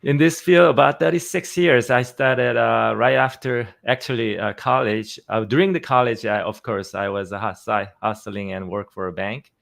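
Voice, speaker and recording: A man in his fifties from South Korea, speaking English with a Korean accent as a finance CEO guest in a casual interview, halting with fillers and restarts. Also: medium pitch, steady tone, vocal fry, a bit bright. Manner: halting, many fillers and restarts, quick laughs.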